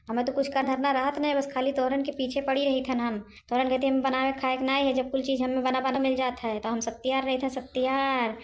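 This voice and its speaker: Domineering high-voiced witch ghost played by a woman. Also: lightly acted. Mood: menacing. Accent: Awadhi. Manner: domineering, threatening, taunting. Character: bullying witch ghost claiming her haunted mansion. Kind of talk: cartoon comedy dialogue.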